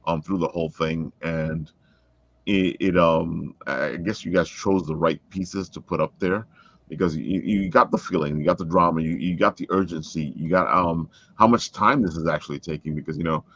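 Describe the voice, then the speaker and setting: A man chatting casually as an interviewer on a podcast, with a halting delivery that often repeats words. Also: sits low, fairly even intonation, a bit bright. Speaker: interviewer and fellow writer. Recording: dull, close, lo-fi call audio.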